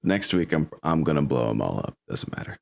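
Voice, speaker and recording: A man's voice: extremely low pitch, very animated, fairly quick, creaky, darkish tone. A man hosting as game master, chatting casually and informally before an online tabletop game.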